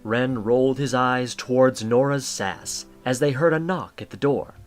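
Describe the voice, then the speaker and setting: A man's voice: medium pitch, unhurried, faint music behind. A man reading scripted story narration as the narrator of an audio drama.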